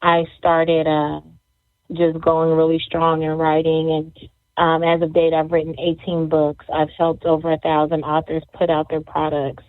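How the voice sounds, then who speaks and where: A woman's voice: sits low, monotone, unhurried, no echo. A woman from Jamaica who grew up in Las Vegas, answering casually as an interview guest. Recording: dry, thin phone-like audio.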